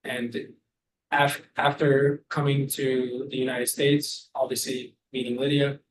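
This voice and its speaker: A man from Albania, a personal trainer and gym co-owner, talking in a relaxed, rambling way. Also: mid-range voice, measured pace, background noise. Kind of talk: casual interview talk.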